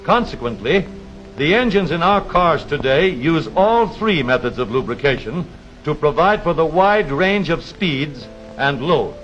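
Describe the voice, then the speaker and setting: A dull, lo-fi vintage film soundtrack with music underneath carries a man's narration. His delivery is formal and precise, in the manner of a 1930s educational film announcer. The intonation rises and falls in a very animated way as he explains things step by step. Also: a little high, unhurried.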